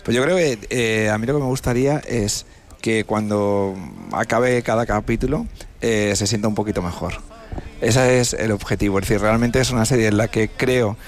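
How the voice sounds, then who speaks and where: A man, the creator of a series, speaking as a guest in a casual radio interview, earnest and thoughtful, often repeating words. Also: on the low side.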